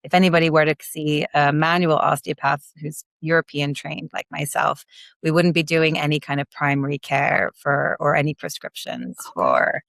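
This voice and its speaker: A woman osteopath from Belgium, raised in London, with a blended American, Belgian and British accent, explaining her work in measured terms in a podcast interview. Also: sits low, lively intonation, creaky, a bit bright, no echo. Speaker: manual osteopath guest.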